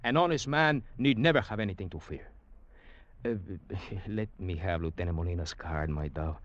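An actor as sly Cuban schemer, low and courtly. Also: male voice, moderate pace, close to an everyday voice. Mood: smooth, obsequious. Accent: Cuban Spanish. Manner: unctuous, florid, hesitant politeness with chuckles. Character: sly, courtly, talkative Cuban schemer, a small-time rogue. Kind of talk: 1940s-50s radio drama acting and first-person narration. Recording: muffled old radio broadcast.

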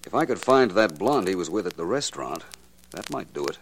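An actor playing an urbane, self-assured detective with polished, wry, precise speech, in 1940s radio mystery style. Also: male voice, sits low, big pitch swings, fairly quick, breathy edge, exaggerated voice. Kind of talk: 1940s radio crime drama acting. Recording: noisy vintage radio recording.

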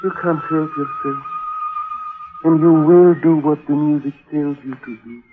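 Actor doing a bitter scheming tempter, highish voice, brooding and insinuating, tinny old 1940s radio. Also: male voice, fairly even intonation, unhurried, soft background music, natural voice. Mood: sly, hypnotic. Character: embittered, sinister cafe musician, a manipulative tempter. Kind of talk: 1940s radio mystery acting. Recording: tinny vintage radio recording.

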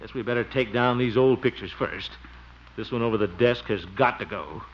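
A man's voice: medium pitch, moderate pace, close to an everyday voice. An actor playing a flustered, flirtatious middle-aged bachelor in 1950s radio comedy, blustering and chuckling as he talks. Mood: bustling. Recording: muffled, hissy old radio broadcast.

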